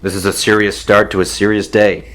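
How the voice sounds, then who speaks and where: A man's voice: on the low side, little pitch movement, fairly quick, fairly bright tone, lightly acted. A man voicing a weary, dutiful male colonel and new wing commander in a fan audio drama, dry and wry.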